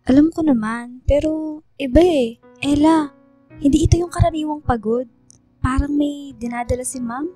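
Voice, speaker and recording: A woman acts out a worried, caring schoolgirl in a radio drama. Her voice is high and mellow, recorded dry with faint music. She speaks earnestly and respectfully. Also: normal speed, pauses often, close to an everyday voice.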